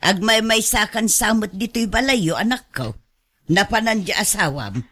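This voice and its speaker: A woman's voice: medium pitch, expressive, moderate pace, bright, forceful tone. A woman playing an inquisitive mother in a radio drama, asking after her family. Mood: concerned. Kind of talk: Ilocano radio drama acting.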